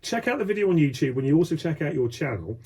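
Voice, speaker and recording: A man's voice: fairly high pitch, big pitch swings, fast, mellow, husky. A man presenting casually on live radio, introducing and signing off in an informal way.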